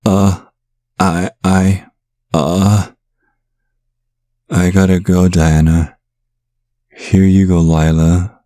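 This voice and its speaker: Low-voiced young man played by an actor. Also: flat delivery, very slow, long pauses, warm tone, dry recording, studio quality. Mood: flustered. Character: giddy, rattled young man, newly bitten by a vampire. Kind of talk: audio drama narration and phone dialogue.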